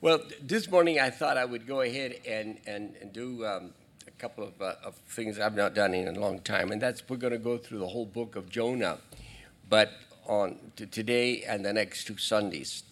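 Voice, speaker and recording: A male pastor preaching a sermon in a church, talking in a halting way with restarts and asides to the congregation. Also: on the low side, a bit bright. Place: church sanctuary.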